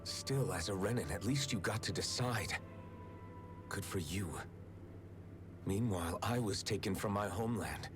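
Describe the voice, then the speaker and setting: A man's voice: fairly low pitch, lively intonation, a little slow, pauses often, over music. A man playing a bitter, guarded young captive in a video game cutscene, terse and resentful.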